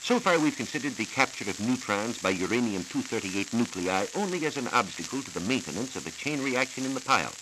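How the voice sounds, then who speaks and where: An American man, a science reporter, explaining in a measured, formal, didactic way from a script on 1940s educational radio, on a hissy old transcription disc. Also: mid-range voice, animated. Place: radio studio.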